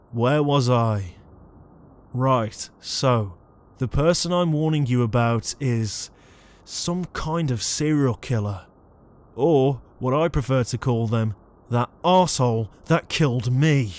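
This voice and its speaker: A man's voice: medium pitch, a little mellow, lightly acted. A man playing a weary, sarcastic young ghost who leads a haunting trio in an audio roleplay. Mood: reassuring, explaining. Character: exasperated young ghost leader, reluctant protector, named Liam.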